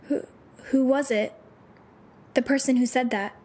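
High-voiced troubled teenage girl. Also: steady tone, a little mellow, a little hiss or hum. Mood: scared, unsure. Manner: halting, informal youthful phrasing. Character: troubled, disillusioned teenage girl runaway with superpowers. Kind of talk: audio drama acting.

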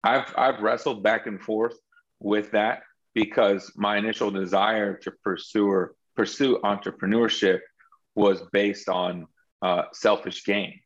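A man's voice: lowish voice, steady tone, unhurried, dull audio. A man sharing his faith story as a guest in an interview, earnest and reflective, often restarting his sentences. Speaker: guest entrepreneur.

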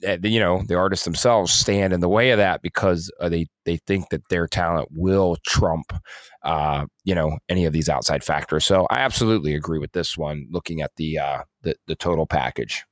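Guy with a low voice cohosting a podcast, casual n reflective, really dry sound. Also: no room sound. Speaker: podcast co-host. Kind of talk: casual discussion.

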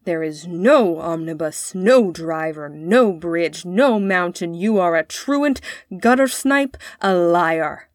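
A woman's voice: on the low side, animated, moderate pace, darkish tone, no echo, natural voice. Lady putting on a grim sarcastic dad from a storybook, emphatic and mocking, very dry sound. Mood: stern anger. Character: stern, mocking father, pleased with his own wit.

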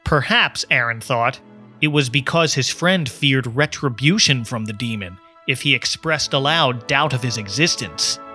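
A man reading a scripted story as an audiobook narrator, in an expressive, measured storytelling manner. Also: mid-range voice, soft background music.

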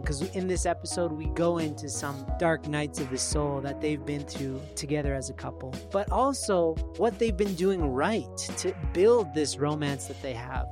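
An enthusiastic podcast host introducing an episode and its guests, with a mid-range voice and an admiring tone. Music plays clearly underneath the voice. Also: mid-range voice.

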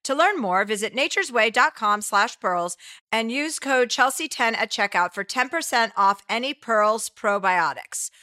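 A woman's voice: on the high side, animated, measured pace, sharp tone, no echo. Lady reading an ad, upbeat, dry close sound. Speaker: ad read by host. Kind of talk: read advertisement.

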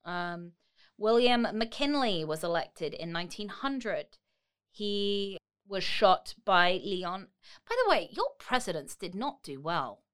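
A woman's voice: medium pitch, expressive, slow, fairly bright tone, clear, resonant tone. A woman co-hosting a podcast, retelling history in a casual, wry and informal way. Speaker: podcast co-host.

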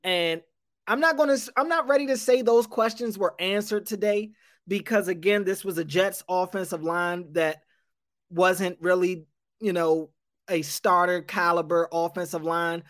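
Male sports reporter, measured breakdown style, dry close mic. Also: high voice, fairly bright tone, no echo. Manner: measured, stacks up points with restarts. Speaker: sports radio host and beat reporter. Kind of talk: casual sports analysis.

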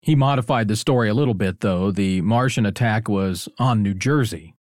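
A man's voice: fairly low pitch, moderate pace, darkish tone, no echo, clean studio sound. A middle-aged or older man hosting an interview, chatty and conversational, prompting his guest with questions. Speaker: interview host.

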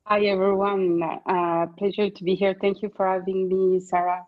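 A woman's voice: medium pitch, little pitch movement, normal speed, no room sound. Cheerful woman, lo-fi line. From France. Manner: cheerful, chatty, laughs easily. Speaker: panel guest. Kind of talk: casual chat. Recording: dull lo-fi dry line.

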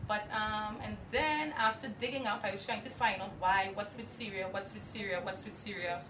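A woman's voice: medium pitch, steady tone, moderate pace. A woman from Trinidad, chatting casually in an interview and filling her talk with "you know". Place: inside a trailer. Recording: roomy, far from mic, noisy.

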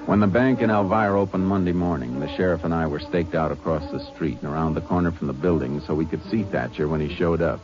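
A man playing a deep-voiced stern Texas Ranger. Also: fast talker, hardly any pauses, music in the background, close to an everyday voice. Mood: narrating. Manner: laconic, drawling, dry. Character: calm stern male Texas Ranger lawman. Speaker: narrator and lead. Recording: dull old radio broadcast with faint hiss.

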